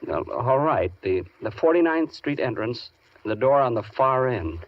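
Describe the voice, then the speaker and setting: An American actor playing a mild, nervous, henpecked piano tuner in 1940s radio drama, hesitant and plaintive. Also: male voice, medium pitch, lively intonation, measured pace, slight background noise, lightly acted. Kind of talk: 1940s radio drama acting with first-person narration. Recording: dull vintage radio recording, music behind.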